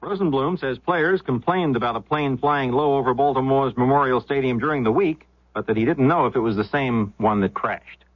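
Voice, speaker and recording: A man's voice: mid-range voice, a little fast, no room sound. A man reading a scripted newscast as a radio news anchor on an old, dull-sounding broadcast.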